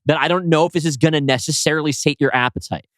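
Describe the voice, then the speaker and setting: Man, podcast co-host, writer, quick pace, mid-pitched, dry recording, casual chat. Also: fairly bright tone, no room sound. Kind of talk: casual chat about own writing.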